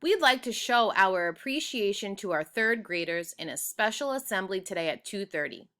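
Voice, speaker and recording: A woman voicing a kindly, official woman principal making encouraging, measured announcements in a children's storybook. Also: mid-range voice, lively intonation, bright, forceful tone. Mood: proud announcing. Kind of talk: children's storybook read aloud.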